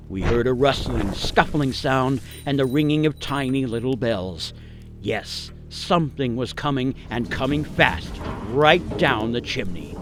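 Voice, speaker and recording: A man narrating a scripted radio story in a theatrical, suspenseful, dramatic way. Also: medium pitch.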